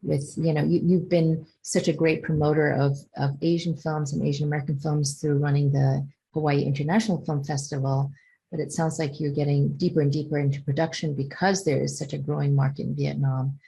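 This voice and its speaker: A woman moderating a film festival interview, asking questions in a measured, thoughtful way. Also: low-pitched, little pitch movement, creaky, warm tone, a little hiss or hum, poor audio.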